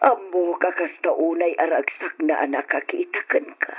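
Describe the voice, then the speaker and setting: A woman playing an affectionate, emotional middle-aged aunt in an old radio drama, with expressive, drawn-out delivery. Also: on the low side, slightly rough. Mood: tearful remorse. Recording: dull old radio recording.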